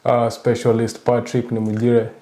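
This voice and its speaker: A young man, a soldier answering interview questions calmly in short replies. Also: medium pitch, moderate pace. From raised in Kenya, born in Rwanda. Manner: brief, calm answers. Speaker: guest, US Army soldier.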